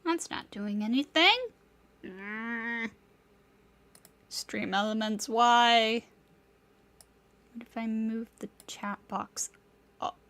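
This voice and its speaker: A woman streaming a game speaks in a high voice at a slow, easy pace. She greets viewers by name and talks to her broken software in mock pleading. Her talk is casual and unhurried. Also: dry recording. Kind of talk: casual live-stream chat.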